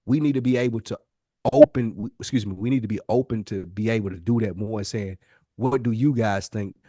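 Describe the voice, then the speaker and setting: Earnest man with a lowish voice. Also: fairly quick, mellow, no room sound. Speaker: podcast co-host. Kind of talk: casual, reflective discussion.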